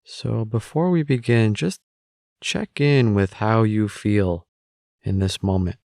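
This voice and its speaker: A man guiding a meditation with calm, measured, gentle spoken instructions. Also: fairly low pitch, gravelly, no echo, clean studio sound. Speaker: meditation guide.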